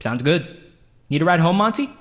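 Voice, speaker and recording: A man's voice: a little high, lively intonation, normal speed, natural voice. A man playing an eager, chatty amateur stage actor in audio drama dialogue. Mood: eager, casual.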